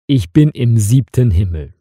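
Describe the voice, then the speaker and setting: A man narrating a detective story slowly and clearly for language learners, with dramatic pauses. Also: mid-range voice, very animated, dark tone, dry recording, studio quality. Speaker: narrator of a German learning channel.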